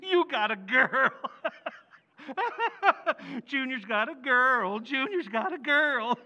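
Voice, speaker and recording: Casting: male actor for blundering middle-aged dad, old-time radio comedy, bright high voice, big swooping delivery, folksy. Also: lively intonation, drawn-out words, heavily acted. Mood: teasing glee. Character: blustery, blundering working-class father, middle-aged. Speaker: stage actor in radio recreation. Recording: reverberant hall.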